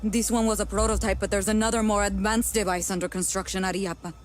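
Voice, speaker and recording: A woman's voice: medium pitch, little pitch movement, fast, slight background noise, natural voice. An actress playing a focused young female rebel fighter in a video game. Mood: confiding, purposeful. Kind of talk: video game cutscene acting.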